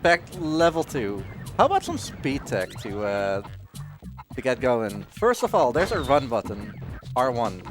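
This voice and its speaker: Animated man doing casual gaming commentary. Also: medium pitch, measured pace, soft background music. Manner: joking, chatty. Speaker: speedrunner.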